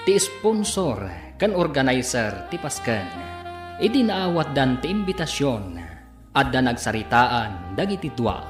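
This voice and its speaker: A man with an Ilocano Filipino accent narrating and announcing a radio drama in a dramatic scripted style. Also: mid-range voice. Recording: echoey voice over loud music. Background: guitar and instrumental music.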